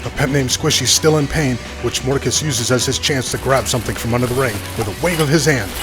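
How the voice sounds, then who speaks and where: A man's voice: mid-range voice, quick pace, close to an everyday voice. A man playing an earnest wrestling commentator, giving scripted play-by-play in a cartoon show. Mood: excited. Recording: clear music over the voice.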